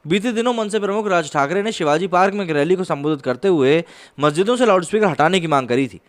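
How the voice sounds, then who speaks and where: A man's voice: sits high, animated, fast. A man presenting online news commentary in an explanatory way, mixing read reports with conversational asides.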